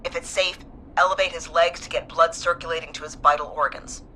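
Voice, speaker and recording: A no-nonsense medical nurse in a horror game. She speaks low, in a measured and direct way, laying out urgent instructions. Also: steady tone, sharp tone, slight background noise, poor audio. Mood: firm, instructive. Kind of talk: dramatic video game voice acting.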